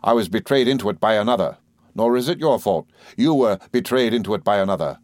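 Guy doing a stern arrogant husband from an old storybook, cold, pompous, very firm and deliberate. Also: on the low side, expressive, dry recording, studio quality, lightly acted. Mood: magnanimous, stern. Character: stern, tyrannical, arrogant husband, master of the house.

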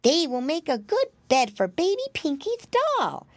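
A woman voicing an excitable, polite little boy piglet in a children's storybook, eager and earnest. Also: high-pitched, a little slow, dry recording, voice pushed up. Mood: pleased planning.

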